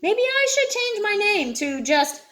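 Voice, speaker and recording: Lady doing a braggy excitable shark for a kids storybook, high voice, eager and showy. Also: measured pace, exaggerated voice. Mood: crestfallen.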